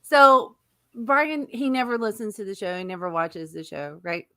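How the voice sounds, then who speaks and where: A middle-aged woman with a high-pitched voice, co-hosting a podcast and telling stories in casual chat, giggling often. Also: normal speed. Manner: giggly, animated storyteller. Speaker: podcast co-host.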